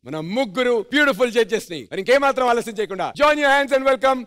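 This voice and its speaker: A man's voice: extremely high pitch, expressive, quick pace, clear, resonant tone, no room sound, clean studio sound. A man hosting a dance show with theatrical, teasing comic banter. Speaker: host. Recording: loud music behind. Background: loud music.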